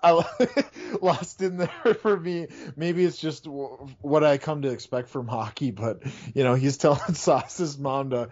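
A man's voice: fairly high pitch, measured pace, hardly any pauses, a little mellow. A man with a Minnesota accent co-hosting a casual podcast, laughing in the middle of his sentences. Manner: giggly, laughs mid-sentence. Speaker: podcast co-host. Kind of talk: casual chat. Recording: dull lo-fi audio.

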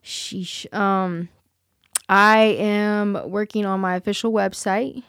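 A woman's voice: medium pitch, fairly even intonation, slow, fairly bright tone, clean tone, dry recording, studio quality. A woman singer chatting as an interview guest, earnest and candid, with plenty of fillers and restarts. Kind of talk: casual interview chat.

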